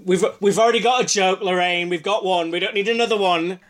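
A man's voice: sits high, steady tone, brisk, fairly bright tone. A man co-hosting a casual chat, talking in a chatty, teasing way and steering the conversation. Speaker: podcast co-host.

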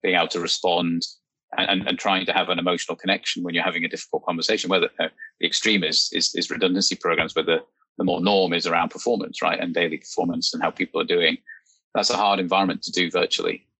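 A man hosting a podcast, talking thoughtfully in a relaxed, conversational way and asking his guest open questions. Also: sits low, fast talker, husky, no room sound, low-quality recording. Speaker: podcast host. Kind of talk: casual conversational discussion.

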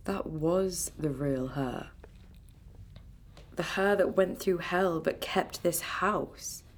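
An actress playing a grieving granddaughter in a naturalistic radio drama, tender and firm, speaking in a measured, earnest way. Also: female voice, on the low side. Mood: firm, reflective.

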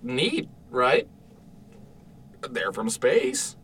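A man playing an eager, boyish, gentle father in an audio drama, explaining things with hesitant enthusiasm. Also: mid-range voice, wildly swooping pitch, extremely slow, slightly rough, heavily acted. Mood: enthusiastic. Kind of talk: naturalistic audio drama acting. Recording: distant mic, noisy room sound.

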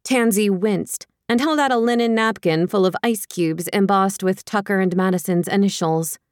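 Female audiobook narrator for light romantic fiction, mid-range voice, wry and expressive, very dry recording. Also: medium pitch, moderate pace, smooth, no room sound.

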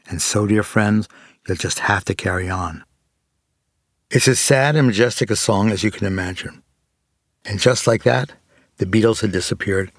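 A man's voice: on the low side, no echo, studio quality. A man reading scripted narration as the introducer of a collection of interviews.

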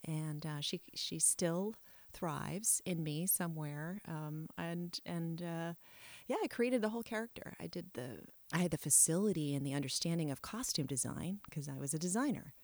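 A woman's voice: low voice, moderate pace, darkish tone, dry recording. A middle-aged woman telling her life story in an interview, reflective and frank, often trailing off and restarting her sentences. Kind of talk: candid personal storytelling.

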